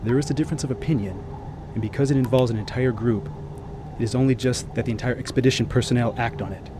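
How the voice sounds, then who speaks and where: A man's voice: medium pitch, quick pace, background noise, natural voice. An actor playing a sober male expedition commander, speaking formally and with authority in a radio drama.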